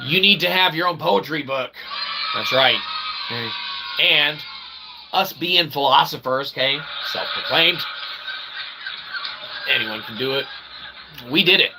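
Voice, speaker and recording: A man's voice: on the high side, lively intonation, a little slow, gravelly, pauses often, loud music behind the voice, poor audio. A man giving a rambling casual monologue to viewers as a channel host, with wordy mock-formal phrasing, frequent okay tags and a self-deprecating manner. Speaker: independent channel host and developer.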